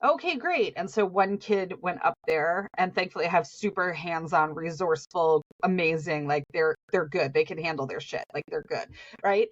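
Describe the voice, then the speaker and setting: A woman's voice: fairly low pitch, normal speed. Sniffly Southern woman in her forties, chatty podcast co-host with a rambling, laugh-filled delivery and a slightly dull sound. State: sniffly from allergies. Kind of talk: casual podcast chat.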